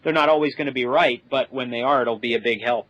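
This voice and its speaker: A man's voice: medium pitch, fast, dull audio. A man explaining conversationally as an expert podcast guest.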